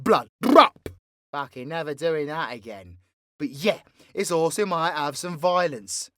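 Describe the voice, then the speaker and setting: A man's voice: sits high, fairly bright tone, no room sound, clean studio sound. A British man introducing his audio roleplay in a brash, playful, sweary and theatrical way.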